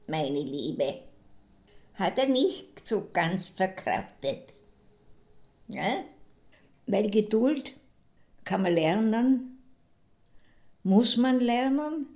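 Actress as a creaky-voiced, musing ex-wife. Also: female voice, medium pitch, darkish tone, exaggerated voice. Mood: wistful, musing. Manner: halting, musing, pausing to think. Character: reflective woman looking back on a hard marriage to Erich. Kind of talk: audio drama monologue, reminiscing.

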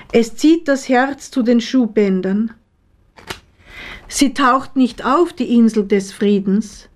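A woman reading her own poems in a measured, deliberate way with pauses between phrases. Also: fairly high pitch, a little mellow. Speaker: poet, author reading own work. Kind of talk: literary poetry reading.

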